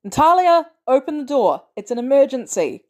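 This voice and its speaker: A woman voicing a gruff male soldier on the run in an audiobook, speaking bluntly in clipped, urgent phrases. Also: sits high, very animated, moderate pace, pitched above the performer's own voice. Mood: urgent, frustrated.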